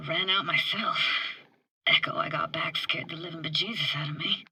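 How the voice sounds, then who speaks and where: A woman's voice: sits low, normal speed, bright, forceful tone, slightly rough, full character voice, poor audio. A woman playing a wry, tender young streetwise techie in a video game, with casual, clipped, slangy delivery. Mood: wistful. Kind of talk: video game character dialogue.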